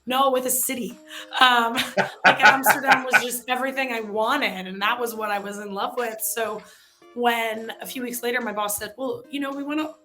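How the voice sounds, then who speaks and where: A woman's voice: on the high side, fairly even intonation, moderate pace, music in the background. An American woman in her thirties, chatting casually as a guest on a work video show, with lots of fillers and self-deprecating asides. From United States. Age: 30s.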